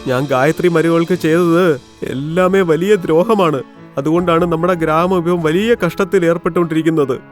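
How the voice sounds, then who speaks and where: A man voicing a remorseful, pleading old father-in-law in an animated moral story. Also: on the high side, normal speed, soft background music, natural voice.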